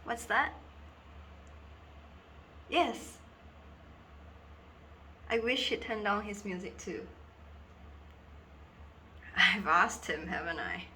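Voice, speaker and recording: Noisy recording, woman playing a lonely girl, very sharp bright voice, halting confiding delivery, wistful, theatrical drama monologue. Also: medium pitch, lively intonation, exaggerated voice. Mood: pleading. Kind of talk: dramatic monologue for an acting reel.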